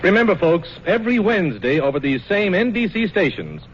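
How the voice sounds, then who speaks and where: A man's voice: sits high, big pitch swings, a little fast, faint music behind. A man announcing on old radio in a polished, hearty manner, reading scripted copy. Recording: muffled, faintly noisy old radio broadcast.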